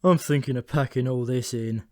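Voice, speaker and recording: A man's voice: mid-range voice, steady tone, normal speed, dark tone, dry recording, clean studio sound, natural voice. A man voicing a short-tempered, world-weary male raven with a blunt, irritable, colloquial manner, in a comic fantasy audiobook. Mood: fed up.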